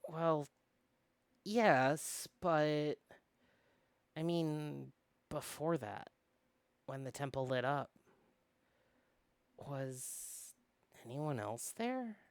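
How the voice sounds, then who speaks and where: A man reading aloud as an earnest, anxious young runaway rookie officer in a visual novel, speaking haltingly. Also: fairly high pitch, lively intonation, smooth, no room sound, heavily acted. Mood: hesitant, probing. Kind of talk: amateur visual novel read-aloud acting.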